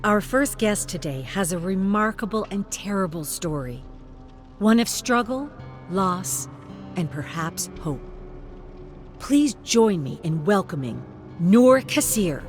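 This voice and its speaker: A narrator as a passionate, animated woman talk-show host. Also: medium pitch, slow pace, soft background music, voice pushed up. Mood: solemn, presenting. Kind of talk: dramatic audiobook character voice.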